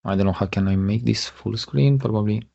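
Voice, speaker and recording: Halting man presenting over a muffled call. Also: lowish voice, creaky, warm tone. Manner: halting, many fillers and restarts. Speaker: guest speaker. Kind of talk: webinar presentation. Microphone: video call. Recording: muffled lo-fi online call audio.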